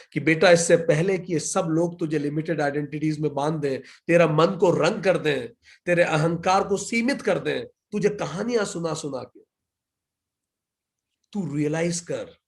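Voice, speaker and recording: A man with an Indian accent teaching scripture, building points through repetition and asking and answering his own questions. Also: on the high side. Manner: emphatic, repetitive rhetorical build-ups. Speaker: spiritual teacher. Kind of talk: scripture lecture.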